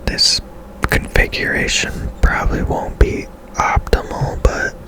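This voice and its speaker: Casting note: whispering ASMR gamer, breathy and very unhurried, thinking aloud in a tentative way. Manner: unhurried, musing to self, tentative. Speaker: gaming ASMR video creator. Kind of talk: whispered ASMR game commentary.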